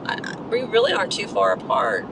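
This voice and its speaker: A hoarse middle-aged woman chatting casually with her viewers during a live stream while driving. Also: a bass voice, wildly swooping pitch, fairly quick. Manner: chatty, coughing between remarks. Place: inside a moving car. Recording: road noise from a moving car.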